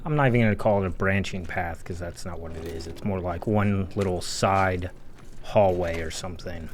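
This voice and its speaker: Low-voiced sarcastic man over background noise. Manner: sarcastic, exasperated, foul-mouthed. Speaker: video game critic. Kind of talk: informal analysis commentary over gameplay.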